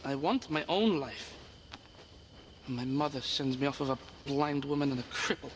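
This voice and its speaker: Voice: medium pitch, moderate pace, natural voice. An actor playing a defiant, sullen young boy in an audio game. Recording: noisy ambience behind the voice.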